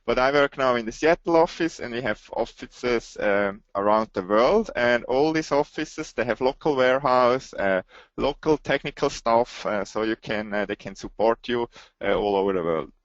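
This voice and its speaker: A man with a Swiss accent talks through a technical webinar at an ordinary middle pitch. He speaks haltingly and pauses often to search for words. The audio is dull and lo-fi, with a very dry sound. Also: no room sound. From Switzerland. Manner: halting, with many hesitation fillers. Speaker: webinar presenter.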